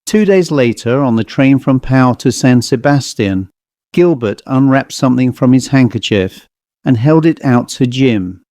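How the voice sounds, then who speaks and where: Mellow male story narrator. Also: mid-range voice, lively intonation, measured pace, no room sound, clean studio sound. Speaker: audiobook narrator.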